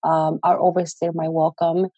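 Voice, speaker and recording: A woman's voice: sits low, level tone, moderate pace, warm tone, no echo, muffled recording. A woman, a guest telling her story on a podcast interview, earnest and plain-spoken with many fillers. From El Salvador. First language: Spanish. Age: 20s. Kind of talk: casual interview.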